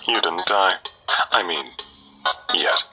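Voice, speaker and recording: A man voicing a laid-back skeleton grim reaper with casual, deadpan morbid humor in a comic dub. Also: sits low, takes it slowly, loud music behind the voice. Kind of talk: fan comic dub acting.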